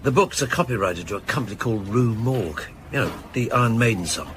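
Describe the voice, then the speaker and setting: Junior male detective: frank, informal, animated intonation, naturalistic radio drama. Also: fairly low pitch, fast, slight background noise. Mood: matter-of-fact. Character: frank, keen male junior police detective briefing boss.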